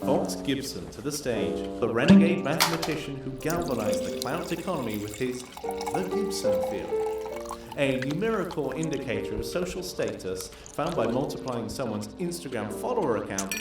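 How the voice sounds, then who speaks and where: A man's voice: mid-range voice, sing-song, very echoey, recorded from a distance, natural voice. Man as a pompous announcer with music behind. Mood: grandiose. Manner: grand, formal, measured. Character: pompous announcer of glittering socialite events.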